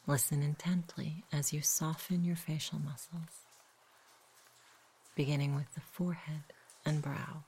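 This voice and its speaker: A woman narrates a guided sleep meditation. Her voice is low for a woman and breathy, often slipping into a whisper. She delivers each phrase softly and gently, taking her time. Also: little pitch movement, rough recording. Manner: soft, gentle, unhurried.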